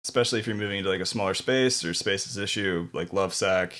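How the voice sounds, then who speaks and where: Podcast host, man, from Ohio, upbeat and casual, lowish voice. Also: fast talker, fairly bright tone. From Ohio, USA. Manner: friendly and enthusiastic, says "dude" and "man". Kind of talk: casual interview chat.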